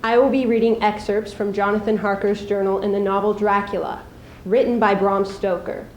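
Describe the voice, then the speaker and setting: A teenage girl, a high school student, gives a deliberate, suspenseful dramatic reading of prose. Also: level tone, a little mellow, a little hiss or hum. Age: teens. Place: live school showcase before an audience. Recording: echoey live room recording.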